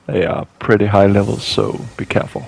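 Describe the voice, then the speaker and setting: Low-pitched man narrating a game guide. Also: steady tone, a little fast, gravelly, darkish tone, husky. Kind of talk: scripted game walkthrough narration.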